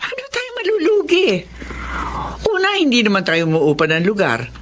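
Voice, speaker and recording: A woman playing a sensible, challenging female doctor in a Filipino radio drama, with an expressive delivery. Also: fairly high pitch, normal speed, a little hiss or hum. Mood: questioning. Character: questioning female doctor, sensible partner of older doctor.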